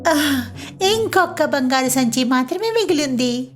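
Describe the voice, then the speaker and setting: A woman's voice: high-pitched, lively intonation, a little slow, faint music behind, pitched above the performer's own voice. A woman voicing a lazy, scheming young girl who whines and exclaims in a children's cartoon story. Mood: scheming, eager. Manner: whiny, complaining, exclaiming.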